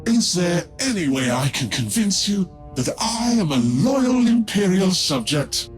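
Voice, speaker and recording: Music plays behind a scheming, heretical tech-priest scholar from a video game. The delivery is grandiose and formal, with drawn-out words and wide swings in pitch. The figure pleads and postures theatrically. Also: male voice, sing-song. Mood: pleading, evasive.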